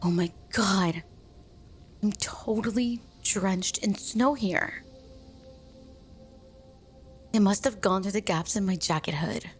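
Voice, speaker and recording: A woman's voice: mid-range voice, measured pace, natural voice. Bossy, irritable mean girl, acted by a woman, over music. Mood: disgusted, flustered. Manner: exasperated, scolding, sarcastic. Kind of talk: ASMR roleplay audio drama.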